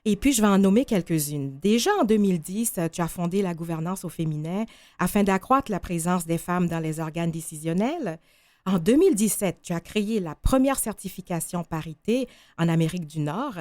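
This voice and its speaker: A woman's voice: fairly low pitch, moderate pace, darkish tone, dry recording. A woman hosting a radio interview show, presenting and questioning her guest.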